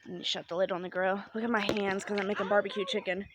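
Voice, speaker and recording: A woman's voice: medium pitch, little pitch movement, fast, crowd noise. A young woman chatting casually in a vlog while sick and congested, talking outdoors by a grill. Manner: chatty, rambling with many ums. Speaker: vlogger.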